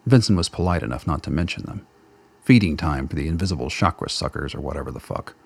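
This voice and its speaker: Deep gravelly male narrator. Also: animated, quick pace. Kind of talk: scripted narration.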